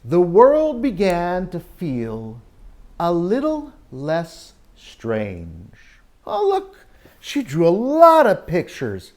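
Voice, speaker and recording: A man reads and talks to young children as a story-time teacher. His pitch moves up and down expressively, and his delivery is unhurried, with dramatic pauses. He keeps putting simple questions to his listeners. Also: huge pitch swings, darkish tone. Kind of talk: animated storybook reading and children's Bible talk.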